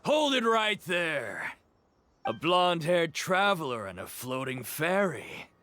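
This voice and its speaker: An actor playing a cocky, sneering mercenary villain in a video game, boastful, taunting and theatrical. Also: male voice, a little high, big pitch swings, takes it slowly, bright, forceful tone, heavily acted. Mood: gloating.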